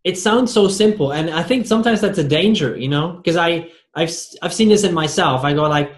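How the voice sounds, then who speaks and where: The host of an interview podcast in an echoey room, a man with a slightly high voice who circles and restarts his way toward each question. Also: a little fast. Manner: rambling, stumbles and repeats words. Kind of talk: conversational interview. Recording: roomy, echoey sound.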